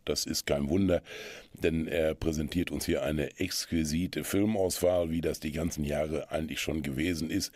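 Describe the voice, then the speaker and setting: A man presenting a radio culture magazine, chatting in long, measured sentences as he passes on tips to listeners. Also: extremely low pitch, a little mellow, no room sound, clean studio sound.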